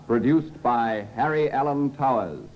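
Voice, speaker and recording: A man announcing a radio series in a formal, measured and dramatic 1950s broadcast style. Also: mid-range voice, very noisy. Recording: dull old radio recording under loud music.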